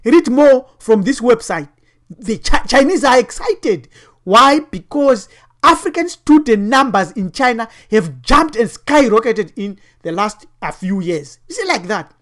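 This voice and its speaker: A woman's voice: fairly high pitch, sing-song, unhurried. A woman giving preachy commentary while walking through web pages, full of rhetorical questions. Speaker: online teacher and commentator.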